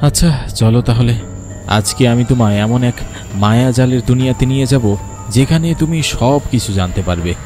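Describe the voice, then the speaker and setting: A man's voice: medium pitch, normal speed, dark tone, soft background music, natural voice. Man acting a brooding psychiatrist with demons. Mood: dark, intense. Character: troubled male psychiatrist with a dark, demonic side. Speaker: voice actor in an audio horror story. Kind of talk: dramatised horror story dialogue.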